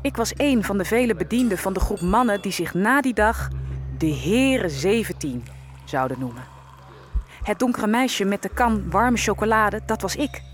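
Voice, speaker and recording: A woman playing a quietly resigned young enslaved servant in a historical audio drama, telling her story in a measured, reflective way. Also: mid-range voice, animated, close to an everyday voice. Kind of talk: audio drama first-person narration.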